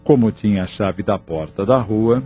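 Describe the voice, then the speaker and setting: A man narrates an audio novel in a scripted, dramatic style. His voice is husky and on the low side, recorded a little dully over soft music. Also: normal speed, highs cut off.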